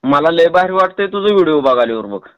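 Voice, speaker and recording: High-pitched rural Marathi man, playfully pestering. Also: animated, fast talker, clear, resonant tone, no room sound. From Tuljapur, Maharashtra, India. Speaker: prank caller. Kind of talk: teasing prank phone call chat. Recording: close, dry phone call recording.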